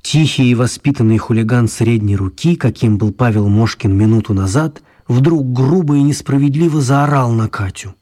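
Slightly husky male storyteller. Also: mid-range voice, moderate pace, hardly any pauses, dark tone. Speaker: narrator. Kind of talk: expressive scripted storytelling for children's radio play.

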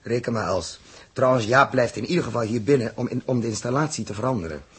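Very husky space crewman. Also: medium pitch, quick pace. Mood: firm, reassuring. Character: matter-of-fact, reassuring male space crewman. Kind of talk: 1960s Dutch radio drama acting.

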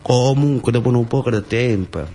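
A man's voice: medium pitch, normal speed, dark tone, a little hiss or hum. Southern Italian man telling a theatrical story. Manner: colloquial, repetitive, with short broken phrases. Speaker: actor and storyteller.

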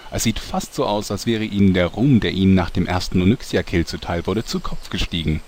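Guy as knowing soap storyteller, really low voice, mock dramatic, noisy recording. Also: fairly quick, a little mellow, lightly acted. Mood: mock-solemn. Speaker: narrator. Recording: clearly noisy amateur recording.

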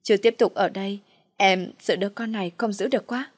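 A woman's voice: mid-range voice, fairly even intonation, a little fast, dry recording, clean studio sound, natural voice. A woman voicing a scheming pregnant wife who scolds or coaxes with calculation in an audio story. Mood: coaxing, sly.

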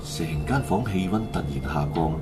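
Deep-voiced Hong Kong Cantonese man narrating drama. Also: lively intonation, normal speed. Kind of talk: scripted radio drama storytelling narration. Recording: loud music bed under the voice. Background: music.

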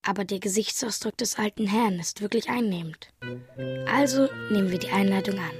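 A woman's voice: mid-range voice, a little fast, over music, close to an everyday voice. A woman voicing a naive, observant young traveller's thoughts as inner monologue in a radio drama. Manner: present-tense inner monologue.

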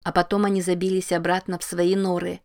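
A woman narrating a novel in a calm, measured reading voice. Her voice sits fairly low and stays steady, with very little rise and fall in its melody. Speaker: audiobook narrator.

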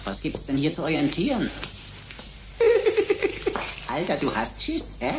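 A man's voice: on the high side, huge pitch swings, slow pace, reverberant, very noisy, full character voice. A man playing a sarcastic computer sidekick who talks in pompous mock-courtly flourishes in a German sci-fi radio play. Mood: taunting. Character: mocking, pompous robotic computer sidekick of a detective.

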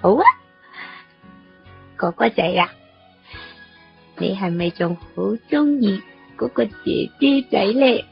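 A woman's voice: mid-range voice, animated, takes it slowly, vocal fry, pauses often, husky, exaggerated voice. A woman playing a frail, childlike, perceptive ninety-four-year-old granny in a Hong Kong Cantonese radio drama. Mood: playful, knowing. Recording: muffled, music underneath.

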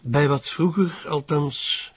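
A Flemish narcotics officer cornered into informing. His voice is very husky and slightly high for a man. He sounds guarded and terse, in a dull radio drama recording. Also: takes it slowly, no room sound, exaggerated voice. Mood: reluctant, uneasy. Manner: defensive, terse, hesitant.

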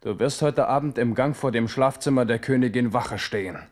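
A man's voice: medium pitch, brisk, darkish tone, close to an everyday voice. An actor playing an aging, sardonic and commanding French king in a theatrical radio play style. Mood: furious, commanding.

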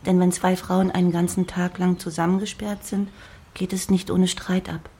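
A woman playing a gentle, modest young lady in a German radio play, softly reading in a measured way. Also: lowish voice, little pitch movement, breathy edge. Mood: calm reading. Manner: soft, measured letter reading.

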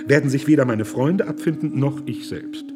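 Principled pastor, a man acting it, lively intonation, music under him. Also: mid-range voice, normal speed, natural voice. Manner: earnest, principled, firm. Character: earnest male pastor and humanitarian. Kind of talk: radio play acting.

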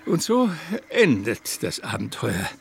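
A man's voice: fairly low pitch, wildly swooping pitch, takes it slowly, vocal fry. A man giving dramatised, scripted narration for a radio play. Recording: loud music under the voice.

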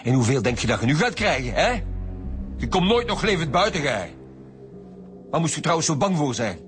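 A man's voice: a little high, big pitch swings, normal speed, soft background music. A man with a Flemish accent playing a stern, scornful homicide detective in a radio drama, blunt and sarcastic. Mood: angry, accusing.

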